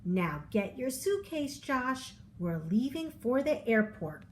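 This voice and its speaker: A woman's voice: a little high, sing-song, slow pace, a little hiss or hum. A woman voicing a kindly father in a storybook, explaining things to his young son in an instructive, parental manner. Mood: brisk, kindly.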